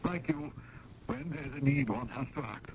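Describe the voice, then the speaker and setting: A man's voice: mid-range voice, normal speed, natural voice. An actor playing a genteel, slyly kind museum committee elder in a 1970s radio drama, speaking formally and taking his roundabout time. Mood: measured, kindly. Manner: formal, leisurely, roundabout speech. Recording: muffled, noisy old radio broadcast.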